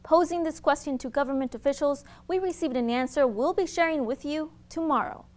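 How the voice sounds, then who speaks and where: A woman's voice: high-pitched, a little fast. A woman television reporter reading scripted news narration.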